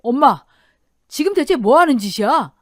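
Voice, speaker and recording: Woman acting a distraught, devoted son-in-law, animated. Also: high-pitched, moderate pace, dry recording, studio quality, pitched above the performer's own voice. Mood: shocked, angry. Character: devoted adult son-in-law, distraught, angry at his mother.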